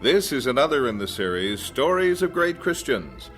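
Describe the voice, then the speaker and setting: Male announcer, mid pitch, scripted radio sign-off, faint background music. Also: sing-song, normal speed, a bit bright.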